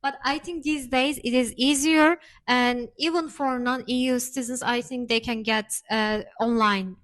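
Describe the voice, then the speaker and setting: A woman's voice: a little high, fairly even intonation, a little slow, fairly bright tone. A woman from Turkey, co-hosting a casual chat and explaining things with frequent hesitations. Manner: explanatory, many hesitation fillers. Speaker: co-host.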